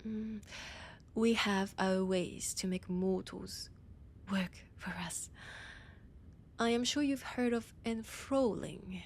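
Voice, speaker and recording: A woman playing a haughty, annoyed vampire scholar with a French accent, speaking in a theatrical monologue with dramatic pauses. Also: mid-range voice, a little mellow, slight background noise. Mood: wry, condescending. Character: annoyed, haughty female vampire scholar guiding a library guest. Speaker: audio roleplay performer. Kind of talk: slow theatrical in-character monologue.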